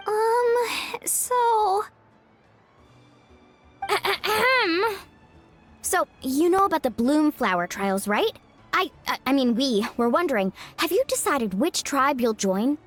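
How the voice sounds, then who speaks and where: A woman voicing a pushy, energetic young woman recruiting for her tribe in a video game. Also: sits high, soft background music, full character voice. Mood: eager. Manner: eager, persuasive, quick to interrupt.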